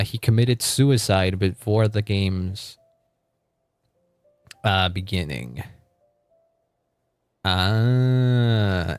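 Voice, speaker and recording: A man's voice: lowish voice, clean tone, dry recording. A man doing casual, chatty livestream commentary on a video game, reacting aloud and reading bits of text as he goes. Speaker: livestream host.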